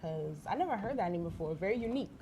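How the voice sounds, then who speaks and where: A woman's voice: medium pitch, lively intonation, racing, noisy background. A woman co-hosting a student talk show, asking questions in a chatty way. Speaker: co-host. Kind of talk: casual interview.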